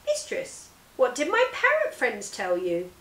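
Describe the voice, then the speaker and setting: Woman voicing a high, wistful pet parrot. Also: wildly swooping pitch, measured pace, fairly bright tone, full character voice. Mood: anxious asking. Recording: roomy indoor echo.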